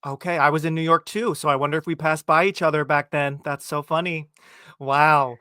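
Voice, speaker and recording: A man's voice: on the high side, fairly even intonation, brisk, sharp tone, clear, resonant tone, dry recording, studio quality. A man from New Jersey with an American accent hosts a podcast in a chatty, enthusiastic way, peppering casual talk with "like". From New Jersey, United States. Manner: chatty, enthusiastic, uses "like" often. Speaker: podcast host. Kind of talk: casual chat.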